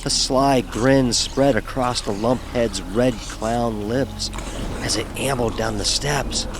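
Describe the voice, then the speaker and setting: An actor playing a nervous young fraternity pledge, narrating his own horror story with measured suspense. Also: mid-range voice, a little hiss or hum, lightly acted, poor audio. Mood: tense, suspenseful. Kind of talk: dramatic first-person horror narration.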